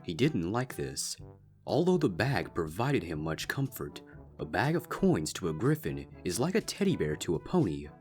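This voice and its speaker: A man's voice: deep voice, sing-song, moderate pace, soft background music. A man reading a scripted fan fiction story aloud as its narrator.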